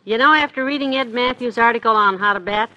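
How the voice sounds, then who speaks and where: A sensible American wife in a 1950s radio comedy. Her voice is high and very bright, with a sharp forward edge, as she chides and teases in good humor. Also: fast talker, highs cut off. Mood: cheerful. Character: sensible, teasing middle-aged wife, fond but exasperated.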